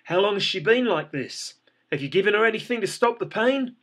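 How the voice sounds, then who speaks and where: A man's voice: high-pitched, lively intonation, normal speed, fairly bright tone, husky, pitched above the performer's own voice, exaggerated voice. A man voicing an urgent male paramedic who explains things firmly and with authority.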